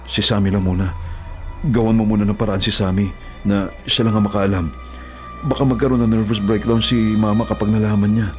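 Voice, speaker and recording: A man's voice: lowish voice, level tone, brisk, natural voice. A man acting a young delivery rider in a radio drama, desperate and insistently pleading his innocence. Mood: subdued, worried. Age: young adult. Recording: music bed under the voice.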